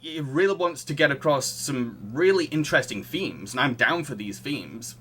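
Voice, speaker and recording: A man's voice: medium pitch, expressive, normal speed, fairly bright tone, slight background noise. A man hosting a casual film review podcast, opinionated and mocking in manner. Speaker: podcast host.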